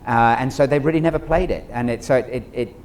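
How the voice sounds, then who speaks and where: A man's voice: mid-range voice, fast, a little mellow. A middle-aged man speaking as a theater director on an informal panel, digressive and acting out imagined quotes. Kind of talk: animated informal panel discussion. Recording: noisy live room ambience.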